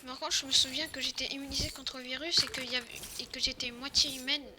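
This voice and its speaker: A woman's voice: high-pitched, fairly even intonation, fast talker, a crowd in the background. A woman improvising a roleplay during a video game, informal and hesitant with many fillers. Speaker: player in a Fortnite zombie roleplay.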